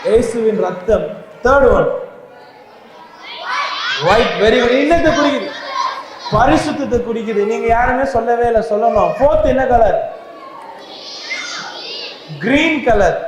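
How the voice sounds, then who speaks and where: A children's Bible class teacher who calls out loud, animated questions to a group of kids at a church Bible school. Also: mid-range voice, unhurried, long pauses, noisy background. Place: inside a church hall, with a crowd of children. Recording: very echoey church hall with long reverb and a children's crowd.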